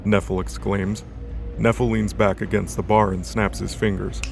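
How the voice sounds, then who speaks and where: A man's voice: fairly low pitch, level tone, moderate pace, vocal fry. A man reading scripted, dramatic narration for an audio drama story. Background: background music.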